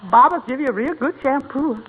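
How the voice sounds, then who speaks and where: A woman's voice: sits high, a little fast, lightly acted. An actor, heard as a woman, playing a good-humored, giggly, deferential yet cheeky housemaid with folksy dialect in a 1940s-style radio comedy. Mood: earnest, teasing. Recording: noisy, muffled old radio broadcast.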